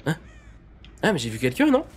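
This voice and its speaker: Excitable young man streamer with a cold. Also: on the high side, brisk, breathy edge. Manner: excitable, repeats himself, chats with viewers. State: nasal from a cold. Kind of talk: live gameplay commentary.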